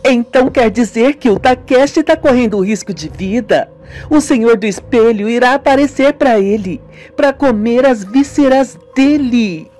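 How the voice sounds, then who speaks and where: A woman's voice: fairly high pitch, moderate pace, darkish tone, faint music behind, pitched above the performer's own voice, lightly acted. A woman playing an earnest, devout young sister in a radio soap opera, speaking in an emphatic, declamatory way. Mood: alarmed.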